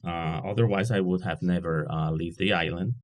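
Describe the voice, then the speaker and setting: Deep-voiced man. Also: fairly even intonation, fairly quick. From Puerto Rico. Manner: hesitant, many uh, dry self-deprecating. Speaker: guest. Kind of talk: casual interview chat.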